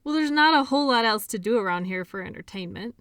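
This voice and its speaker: A woman playing a caring, shy doctor in an intimate audio roleplay, talking softly and hesitantly in a conversational way. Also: on the high side, animated, fast, clear, resonant tone, no room sound, clean studio sound. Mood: sincere, admiring. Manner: soft, hesitant, giggly, conversational.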